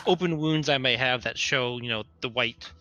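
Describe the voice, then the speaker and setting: A man plays a tabletop roleplaying game, talking casually at the table. His voice is medium in pitch, with a sharp, bright tone. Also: moderate pace.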